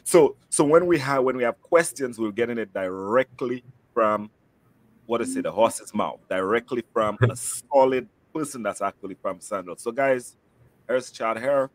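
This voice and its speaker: A man's voice: medium pitch, normal speed, no room sound, rough recording. A man with a Saint Lucian accent hosting a casual promotional interview, chatty and fond of repeating words for emphasis. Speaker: host, travel agent.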